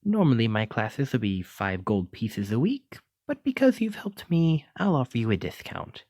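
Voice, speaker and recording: A man acts out a clumsy but kindly wizard giving magic lessons in an ASMR roleplay. He speaks with genteel, old-fashioned flourish and keen encouragement. His mid-pitched voice leaps and swoops dramatically, and the sound is very dry. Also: wildly swooping pitch, moderate pace, darkish tone, no echo. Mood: genteel, instructive. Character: clumsy, kindly, excitable male wizard teacher. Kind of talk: ASMR roleplay addressed to the listener.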